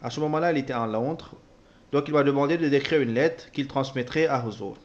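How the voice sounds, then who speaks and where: A man interpreting a personal testimony, speaking haltingly with repeated words and self-corrections. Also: mid-range voice, lo-fi sound. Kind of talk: spoken translation of a personal testimony.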